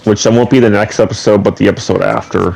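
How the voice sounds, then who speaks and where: A man's voice: fairly low pitch, fairly even intonation, fast, creaky, a little mellow, slight background noise, rough recording. A young man host giving rambling, chatty game commentary with trivia asides, his voice a little off from a slight head cold. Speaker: gaming channel host. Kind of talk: casual gameplay commentary.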